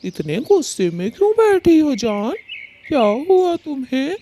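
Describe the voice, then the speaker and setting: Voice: sits high, big pitch swings, measured pace, warm tone, a little hiss or hum. A performer voicing a male cartoon bird with a theatrical, complaining and pleading way of talking. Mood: wounded, pleading. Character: dramatic male cartoon bird, husband or neighbour, plaintive. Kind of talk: Hindi children's cartoon story dialogue.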